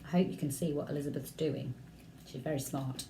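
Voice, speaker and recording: A woman's voice: sits low, steady tone, a little fast, dark tone, a little hiss or hum. A woman reading a storybook aloud expressively, like a primary school teacher talking directly to young listeners. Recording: roomy echo, distant microphone.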